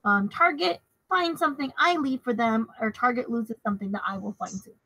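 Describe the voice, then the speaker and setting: High, bright-voiced woman playing tabletop games. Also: expressive, brisk, no echo, low-quality recording. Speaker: tabletop game player. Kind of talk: casual game chat, hesitant.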